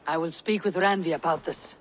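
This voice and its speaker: An actress playing a tough Viking warrior woman in a video game, measured and dry, a steady leader. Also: lowish voice, a little hiss or hum, lightly acted. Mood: wry, calm. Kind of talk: dramatic video game cutscene acting.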